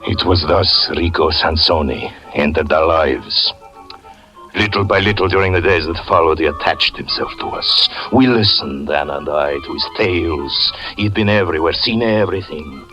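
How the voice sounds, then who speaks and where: A man's voice: very deep voice, normal speed. An actor playing a jealous, haunted fortune-teller who narrates his own doom in a grave, theatrical 1940s radio drama style. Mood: fatalistic. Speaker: narrator and lead character. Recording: lo-fi old radio recording, faint music.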